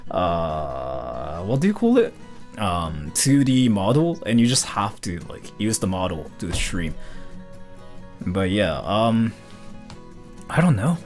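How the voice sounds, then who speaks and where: A man's voice: mid-range voice, darkish tone. A man from Japan, a streamer, chatting casually and answering viewer questions in a rambling, loose way. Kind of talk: casual livestream chat, reading viewer questions.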